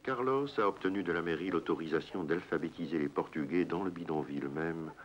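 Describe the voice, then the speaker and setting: A man with an extremely low, booming voice narrates a documentary in short, clipped phrases. The sound is dull and muffled, like an old television broadcast. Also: fairly quick.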